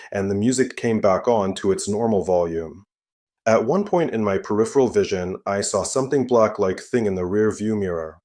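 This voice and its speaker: A man reading a first-person scary story aloud as narrator, in a steady, even manner. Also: on the low side.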